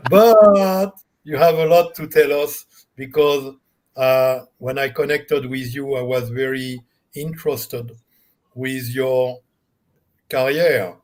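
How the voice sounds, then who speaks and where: Slow male host. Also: mid-range voice, steady tone, darkish tone. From France. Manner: slow, many uh fillers. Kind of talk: live online panel hosting. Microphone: video call.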